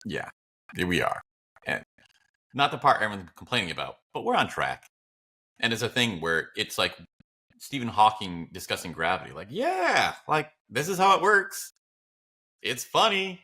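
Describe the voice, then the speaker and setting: Deep, animated, bright-voiced man. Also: normal speed, long pauses. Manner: opinionated, rambling, blunt, profane. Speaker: podcast co-host. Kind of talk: casual philosophical chat.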